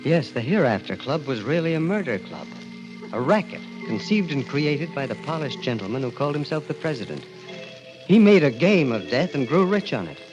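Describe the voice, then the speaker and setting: An actor playing a haunted, thrill-addicted man who tells his own dark story in intense, confessional 1940s radio style. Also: fairly high pitch, sing-song, moderate pace. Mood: bitter, compelled. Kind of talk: 1940s radio acting, first-person narration. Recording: dull old radio recording. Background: dramatic music.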